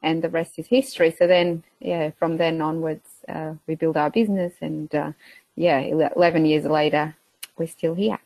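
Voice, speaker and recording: A woman in her thirties speaks with a Portuguese accent in a fairly low voice, recorded dry and close. She chats casually as an interview guest, pausing often with fillers. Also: fairly even intonation, warm tone, no echo, poor audio. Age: 30s. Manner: hesitant, many fillers, laughs between phrases. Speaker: guest, beef farmer.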